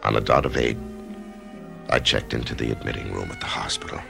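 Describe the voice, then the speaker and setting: An actor playing Norman, an anxious man facing surgery, who narrates his own story in a halting, frightened way. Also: very deep voice, vocal fry, exaggerated voice. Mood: reflective. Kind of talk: 1990s radio drama acting. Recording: remastered radio drama with music.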